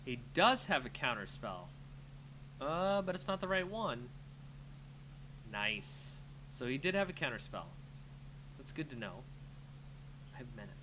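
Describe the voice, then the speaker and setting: A man's voice: medium pitch, very animated, unhurried, long pauses. A man giving live gameplay commentary, thinking aloud in a casual, reactive, muttering way as he streams a card game. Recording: clear background noise with hum.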